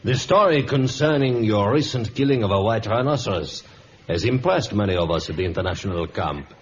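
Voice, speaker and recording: An actor playing a scheming, arrogant colonial army lieutenant with a French accent, in an old radio drama style with haughty, insinuating delivery. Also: male voice, lowish voice, lively intonation, brisk, lightly acted. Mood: smug, official. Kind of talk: 1950s radio drama acting. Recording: dull, noisy vintage radio recording.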